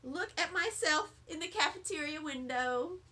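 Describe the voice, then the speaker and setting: Woman voicing a vain, high-pitched little girl. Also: expressive, normal speed, bright, forceful tone. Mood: breezy vanity. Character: vain, showy little first-grade girl Lucille. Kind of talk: children's storybook read-aloud.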